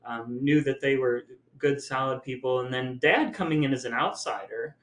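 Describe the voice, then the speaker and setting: Middle aged guy hosting a podcast, earnest reflective chat, mid pitch, roomy echo. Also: normal speed. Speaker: podcast host. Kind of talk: reflective family conversation. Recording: echoey room.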